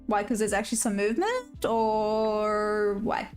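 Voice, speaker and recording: A woman's voice: mid-range voice, expressive, measured pace, faint music behind. A woman live-streaming her day trading, chatting casually and answering viewer questions as she goes. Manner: upbeat sign-off, friendly to viewers.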